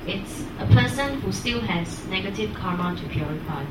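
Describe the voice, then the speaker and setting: A woman's voice: medium pitch, expressive, normal speed. A woman answering as a student in class, unscripted and hesitant, piecing her answers together as she goes. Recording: echoey room, distant mic, clear noise.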